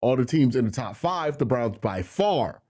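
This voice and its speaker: A man with a cold, sounding nasal and breathy, hosting a sports talk show and patiently explaining draft tiebreakers. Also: mid-range voice, animated, normal speed, darkish tone. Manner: explanatory, walks through tiebreaker rules step by step. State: congested from a cold. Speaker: sports channel host. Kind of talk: solo sports talk to camera.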